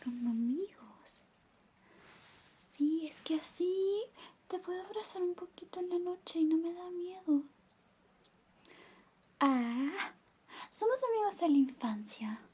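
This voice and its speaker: Woman acting out high, whispery, flirty best friend. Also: lively intonation, takes it slowly, pauses often, heavily acted. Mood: affectionate, flirty. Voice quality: soft, breathy whispering mixed with soft voice. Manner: coaxing, intimate, lingering. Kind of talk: Spanish ASMR roleplay, whispered personal attention.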